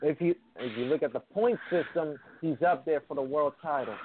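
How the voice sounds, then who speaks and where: A man talks bluntly and defensively in casual chat, his voice a little high. The sound comes through thin and narrow, like a phone call. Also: normal speed, a little hiss or hum. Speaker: guest on call. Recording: tinny call audio.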